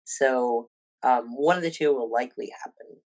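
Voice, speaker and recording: A woman's voice: extremely low pitch, normal speed, darkish tone, lo-fi sound. A woman in her fifties, a personal trainer and bodybuilder, talking casually as a podcast guest with many ums and restarts. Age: 50s.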